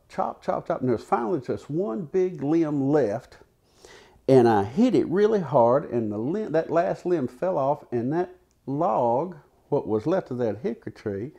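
A man tells a folksy tall tale, unhurried, with dramatic pauses and repetition. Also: a little high, vocal fry, mellow, lo-fi sound. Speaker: storyteller. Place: living room in a house, seated by a fireplace. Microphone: lapel.

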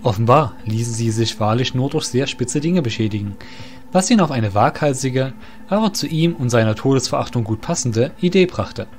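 A man's voice: mid-range voice, lively intonation, moderate pace. A man narrating a scripted fantasy story in formal, literary phrasing. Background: music.

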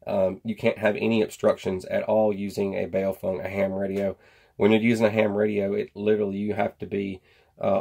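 Low, gravelly American man chatting casually. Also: dark tone. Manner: rambling, folksy slang. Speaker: survival gear vlogger. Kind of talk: casual product chat to camera. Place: indoor workshop at a workbench.

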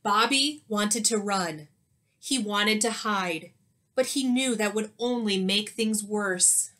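A woman's voice: medium pitch, slow pace, fairly bright tone. A woman in her thirties, a teacher, reading a picture book aloud expressively and explaining it to children. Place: small indoor room at home.

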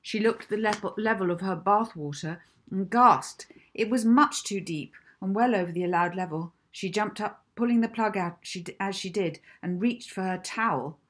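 A woman in her fifties reading her own children's book aloud as an expressive, deliberate storyteller. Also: mid-range voice. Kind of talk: children's audiobook narration. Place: small indoor room at home.

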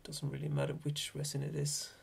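A man in his thirties with a Swedish accent, a Swedish native speaker explaining fly tying in a relaxed, hesitant way from a small home workshop. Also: a little high, fairly quick, creaky, slight background noise. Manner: relaxed, hesitant, thinking aloud. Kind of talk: casual tutorial narration.

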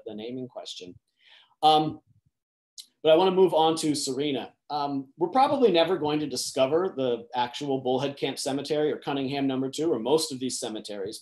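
A man in his thirties presenting historical research over a video call, earnest and measured, his voice trailing off at phrase ends. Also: medium pitch, a little mellow. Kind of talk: online lecture presentation.

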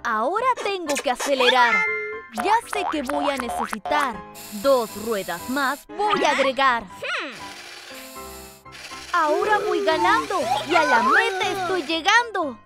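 An eager little cartoon lion cub speaks in sing-song rhymes. The voice is very high and lively, over loud children's music. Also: a little slow. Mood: excited. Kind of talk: rhyming children's cartoon dubbing.

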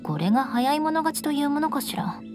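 A woman's voice: high-pitched, lively intonation, fairly quick. A woman playing a proud young noblewoman knight in an anime video game, haughty and composed, with refined feminine speech. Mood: haughty, resentful. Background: game music.

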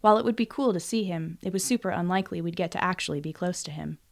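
A woman's voice: lowish voice, quick pace, gravelly. A woman reading first-person fiction as an audiobook narrator in a scripted storytelling style.

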